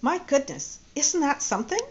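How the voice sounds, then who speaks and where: A woman's voice: high-pitched, very animated, normal speed, a little hiss or hum, voice pushed up. A woman voicing a chatty, effusive middle-aged mother in a read-aloud story, on dull lo-fi audio. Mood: gushing. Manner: gushing, effusive.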